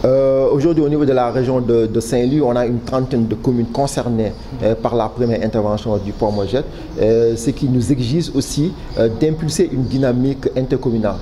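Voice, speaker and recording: A man with a Senegalese accent giving formal interview answers as a project official, halting often and repeating words. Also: medium pitch, steady tone, a crowd in the background. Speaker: official spokesman for a public waste management project. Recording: clearly noisy background ambience.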